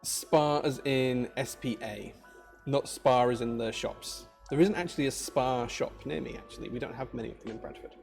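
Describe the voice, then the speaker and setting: A man's voice: mid-range voice, normal speed, gravelly, a little mellow, soft background music. A married man with a Yorkshire accent, chatting casually and rambling with viewers during a livestream from his workshop. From Yorkshire, England. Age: adult, married. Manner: chatty, rambling, many fillers and restarts. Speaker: maker livestreamer.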